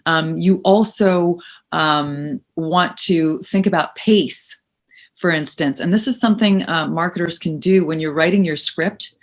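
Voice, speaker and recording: A woman's voice: lowish voice, a little slow. Woman voice coach giving practical advice. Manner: practical, emphatic, uses second person advice. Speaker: voice-over coach and actress. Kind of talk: interview answers, advisory.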